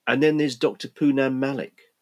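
A man hosting a podcast, reading his scripted links in a measured, reflective way, with an English-sounding accent despite growing up in Scotland. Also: medium pitch, steady tone, a little mellow. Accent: English-sounding, not Scottish. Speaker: podcast host.

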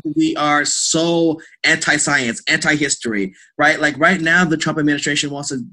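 Bright-voiced man talking through ideas in conversation. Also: a little high, fairly even intonation, moderate pace. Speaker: podcast guest, theatre artist and academic. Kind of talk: casual interview conversation.

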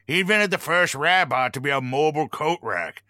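A man's voice: on the high side, animated, moderate pace, bright, forceful tone, no room sound, clean studio sound. A man playing a callous, smug rabbit business tycoon in a radio play, with a dismissive manner. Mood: smug, scornful. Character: callous male rabbit tycoon, smug captive factory boss.

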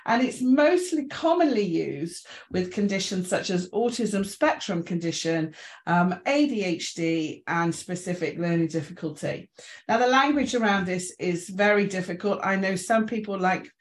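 A middle-aged woman with an English accent giving an informal presentation in a chatty, self-deprecating, reassuring way. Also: medium pitch. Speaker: invited speaker, inclusion trainer.

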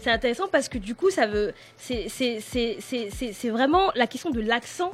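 A woman leading a casual discussion, pausing and restarting often as she sets up questions for her guests. Her voice is somewhat high. Faint music lingers underneath. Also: expressive. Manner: hesitant, many restarts, asks questions. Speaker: podcast host and moderator.

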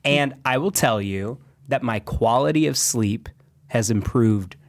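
A man's voice: medium pitch, no room sound. Rambling male co-host, thirties, dry close recording. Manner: rambling, halting with fillers. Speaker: radio show co-host. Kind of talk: casual chat.

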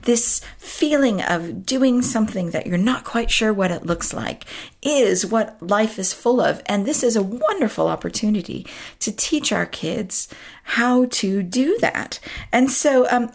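Croaky-voiced chatty woman podcast host. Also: fairly high pitch, animated, unhurried. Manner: chatty, self-interrupting, laughs at herself. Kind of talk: casual solo podcast talk.

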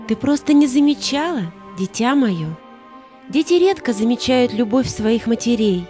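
A woman's voice: fairly high pitch, expressive, warm tone, soft background music, lightly acted. A woman playing a tender, radiant young mother, the spirit of maternal love, in an audio play. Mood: tender, loving. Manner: tender, unhurried, caressing address.

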